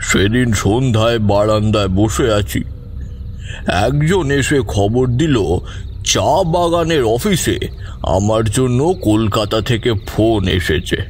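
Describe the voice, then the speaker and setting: A man playing an old grandfather who recounts eerie memories in a measured, suspenseful, conversational way. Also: fairly low pitch, a little hiss or hum. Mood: grave, reminiscing. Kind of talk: dramatic first-person horror storytelling.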